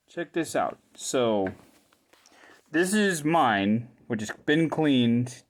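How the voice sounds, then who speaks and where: Casual man thinking aloud while tinkering. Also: mid-range voice. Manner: informal, thinking aloud in short halting phrases. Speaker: hobbyist repairer presenting his own video. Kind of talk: casual hands-on show-and-tell commentary.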